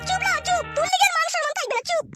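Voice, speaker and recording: A woman's voice: extremely high pitch, rapid-fire. A woman voicing a blunt, scolding village mother in a cartoon, with light music underneath. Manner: nagging, lecturing.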